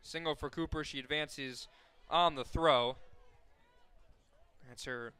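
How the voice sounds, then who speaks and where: A man with an American accent does live softball play-by-play for radio. His voice sits a little high and rings bright and clear. He talks conversationally through the details, ready to lift for the action. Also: slow, dry recording. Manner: conversational between plays, excited calls on action.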